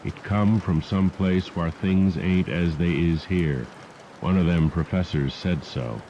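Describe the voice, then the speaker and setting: On a muffled, rain-soaked cassette recording, a man acts out an old rural farmer full of dread. The voice is very low and dark, hushed and grave, with rustic country speech from a horror story. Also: a little slow. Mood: grave, halting. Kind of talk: horror audiobook character dialogue. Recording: muffled degraded cassette tape with rain noise. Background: rain and storm sounds.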